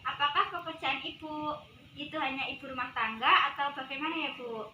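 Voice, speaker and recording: A woman's voice: sits high, brisk, bright, forceful tone. A young woman, speaking politely and formally as a nutritionist in a rehearsed counselling dialogue. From Indonesia. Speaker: nutritionist in a counselling role-play. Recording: echoey room, distant mic, clear background noise.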